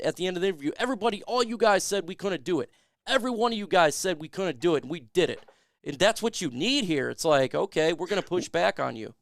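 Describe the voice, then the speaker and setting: A man hosting a casual sports chat, clearly losing his voice. His tone is gravelly and sits a bit high, and he talks emphatically into a close mic. The sound is dry, with no room in it. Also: no echo. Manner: emphatic, reads viewer questions aloud. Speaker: podcast host. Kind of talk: casual sports talk show.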